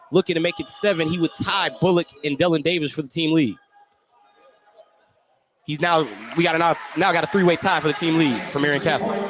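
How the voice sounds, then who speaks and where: A man calling live basketball play-by-play for radio, describing each move in detail. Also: on the high side. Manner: detailed, descriptive calling, shouting on big plays. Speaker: play-by-play radio sports commentator. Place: indoor basketball gym, courtside broadcast. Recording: loud gym noise behind commentary. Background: loud ambient game noise.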